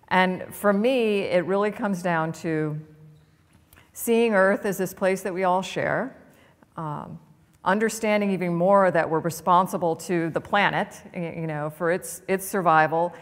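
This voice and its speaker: A woman speaking thoughtfully and earnestly on a panel as an astronaut. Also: fairly low pitch. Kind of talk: panel talk. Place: large event hall, on stage. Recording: long reverb of a large hall.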